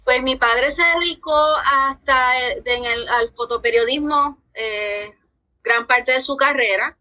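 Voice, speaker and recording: A woman with a Puerto Rican accent, a social worker guest talking about her life in a podcast interview, earnest and measured, with frequent "eh" pauses. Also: high voice, bright, forceful tone. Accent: Puerto Rican Spanish. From born in New York, raised from age eleven in Puerto Rico.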